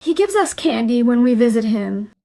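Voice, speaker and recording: A woman's voice: fairly high pitch, very animated, normal speed, vocal fry, dark tone, no room sound. A woman playing a sullen, evasive young schoolgirl in an audio drama, offhand and defensive. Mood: defensive, sulky.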